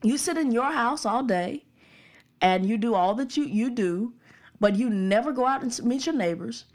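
A woman's voice: on the high side, expressive, normal speed, darkish tone. A young woman from the Birmingham suburbs, giving an earnest, emphatic opinion monologue that repeats its points. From Birmingham, Alabama suburbs.

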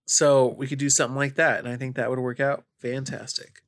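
A man's voice: mid-range voice, level tone, a little fast, fairly bright tone, no echo, studio quality. A man co-hosting a casual podcast, with a nasal, stuffed-up voice and an upbeat, riffing manner. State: stuffy nose. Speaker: podcast co-host.

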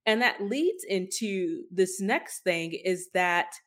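A woman's voice: medium pitch, lively intonation, slow. A woman co-hosting a podcast, talking through the news in a casual, conversational way and thinking aloud. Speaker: podcast co-host.

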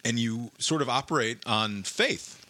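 A man's voice: medium pitch, unhurried, bright, forceful tone. A man in casual conversation, talking in a reflective, musing way. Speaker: participant in a group conversation. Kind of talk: casual chat.

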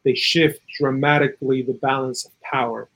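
A man from South America giving earnest, opinionated political commentary in a conversational way, with a husky voice and plenty of "you know". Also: medium pitch, steady tone, normal speed, a bit bright, poor audio. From South America, Chile. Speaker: interview guest, political and legal commentator.

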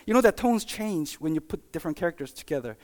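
A preacher giving a conversational sermon with personal stories, talking in a halting, informal way and often checking in with listeners. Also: mid-range voice, animated, a little mellow. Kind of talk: conversational sermon with personal anecdotes and acted-out examples.

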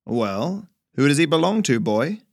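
Guy doing a rough country trader for an audio story, drawly, pushy, looks down on everyone. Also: a little high, sing-song, a little slow, darkish tone, no room sound, studio quality, pitched above the performer's own voice, full character voice. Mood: scornful. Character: Thaddeus, brash spitting frontier trader with a weapon.

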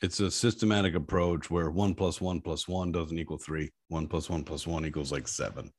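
A man with a deep voice talking in a casual interview, an animated and self-deprecating storyteller. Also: moderate pace. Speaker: guest, app founder.

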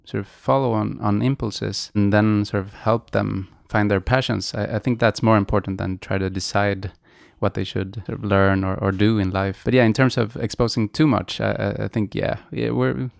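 A middle-aged man from Sweden, a podcast guest who speaks English hesitantly and softly, with many restarts. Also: lowish voice, fairly even intonation, normal speed, gravelly, few pauses, no echo, studio quality. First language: Swedish. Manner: hesitant, soft-spoken, many restarts, light laughs. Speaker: guest, musician. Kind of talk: podcast interview.

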